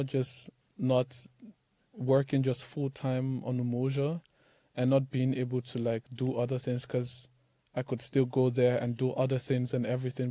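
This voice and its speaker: A man's voice: medium pitch, mellow, no echo. A young man from Nigeria, a startup founder talking loosely in an interview, full of fillers like "like" and "man". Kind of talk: casual interview talk.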